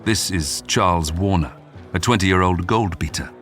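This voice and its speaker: A man's voice: sits low, moderate pace, fairly bright tone. A man reading a scripted, dramatic true-crime documentary narration. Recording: music bed under the voice. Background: background music.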